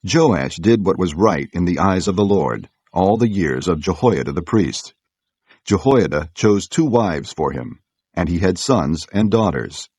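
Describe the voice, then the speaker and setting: A man reads scripture as a narrator, in a low, husky voice. The delivery is formal and measured, and the sound is dry and close. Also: lively intonation, darkish tone, no room sound.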